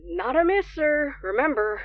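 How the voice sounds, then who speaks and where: High-voiced, frightened young radio DJ. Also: female voice, animated, moderate pace, dry recording, dull audio. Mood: tense, sarcastic. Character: frightened young non-binary late-night radio DJ, trapped alone. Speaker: radio host. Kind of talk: horror audio drama acting.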